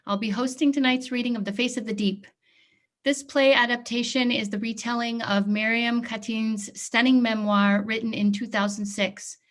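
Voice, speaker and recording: A woman's voice: mid-range voice, a bit bright. A woman hosting a play reading and narrating scripted stage directions. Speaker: host and stage direction reader.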